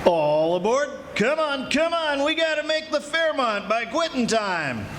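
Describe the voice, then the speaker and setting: A woman's voice: high-pitched, sing-song, a little slow. A woman playing a brash, streetwise cable car conductor calling out in 1940s radio style. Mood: brash, impatient. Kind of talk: 1940s radio comedy-mystery acting. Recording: noisy live stage recording.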